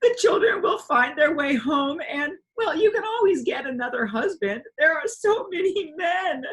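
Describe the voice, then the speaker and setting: A woman voicing a bold, tender-hearted little storybook girl who talks high and breaks into sobs. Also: moderate pace. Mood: sobbing. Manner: sobbing, excitable, high.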